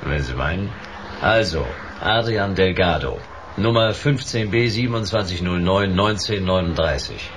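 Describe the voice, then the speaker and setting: An actor playing a laconic, sardonic private detective in a German radio drama, dry and deadpan in narration and questioning. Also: male voice, low-pitched, moderate pace, slight background noise. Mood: probing.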